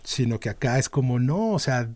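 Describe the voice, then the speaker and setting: A middle-aged man with a Mexican accent talks at an ordinary pitch, explaining things at length and filling gaps with fillers and restarts. He speaks into a studio mic in a small podcast studio. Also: animated, moderate pace, darkish tone. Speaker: band manager, podcast guest. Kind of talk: casual interview talk. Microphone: studio mic on a boom arm.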